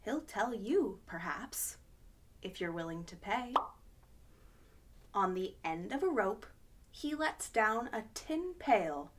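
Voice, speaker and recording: A woman's voice: fairly high pitch, fairly bright tone. A woman hosting a children's storytime, reading a picture book aloud expressively with gasps of wonder.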